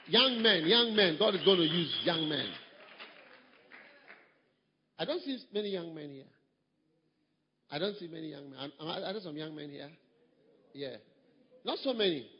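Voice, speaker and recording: Husky male pastor, fairly high voice, preaching emphatically; dull recording with a long hall echo. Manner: emphatic, repetitive preaching with surges in volume. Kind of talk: sermon.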